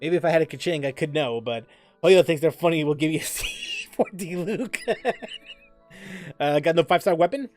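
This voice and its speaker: High, lively-voiced man streaming a game. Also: normal speed, faint music behind. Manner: rambling, many fillers and restarts, reads chat aloud. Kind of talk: casual gaming stream chat.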